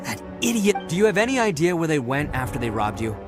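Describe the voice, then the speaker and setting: Brash oni brawler, game cutscene, swaggering, bouncy intonation, slightly high. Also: male voice, sing-song, fast, over music. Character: brash cocky male oni gang leader.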